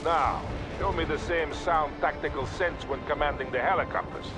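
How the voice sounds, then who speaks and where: A man's voice: fairly high pitch, normal speed, over music, lightly acted. A man playing a stern, composed Soviet field commander with a Russian accent, giving clipped, formal orders in a military video game. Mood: measured approval. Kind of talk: scripted video game military radio dialogue. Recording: loud battle noise behind. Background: artillery fire, explosions and gunfire.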